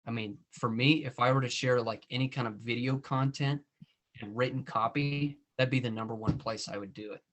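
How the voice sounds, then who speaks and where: A man's voice: mid-range voice, fairly even intonation, fairly quick, a bit bright. A man hosting a podcast, chatting casually with lots of "you know" and "I mean". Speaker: podcast host. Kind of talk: casual interview chat.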